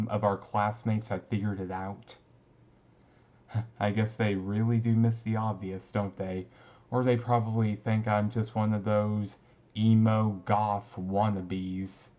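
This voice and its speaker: Man acting a slow, halting young male vampire. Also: fairly low pitch, darkish tone, highs cut off. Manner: halting, hesitant, with stammers and pauses. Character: young male vampire student, secretive, flustered, confiding. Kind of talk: intimate ASMR roleplay acting.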